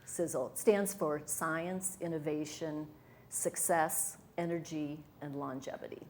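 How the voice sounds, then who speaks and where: Brief: middle-aged woman, low voice, eager and sincere, faint background hiss. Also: steady tone, measured pace, a little mellow. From Wisconsin, USA. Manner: earnest, enthusiastic, with fillers and restarts. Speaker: interview guest, hypnotherapist and wellness coach. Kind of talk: conversational interview.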